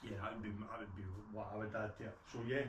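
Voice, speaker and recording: A man's voice: on the low side, steady tone, fast. A man with a broad Scottish accent giving a casual, unscripted gear review in a plain-spoken way. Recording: roomy echo, distant mic, clear background noise.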